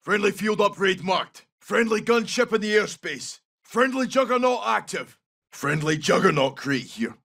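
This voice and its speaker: An actor playing a tough, loyal Scottish special forces soldier in a video game, giving short, clipped callouts in a gravelly voice. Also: male voice, high-pitched, expressive, fairly bright tone, distant mic, exaggerated voice. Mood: urgent, commanding. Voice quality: husky, gravelly. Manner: clipped, brisk, urgent.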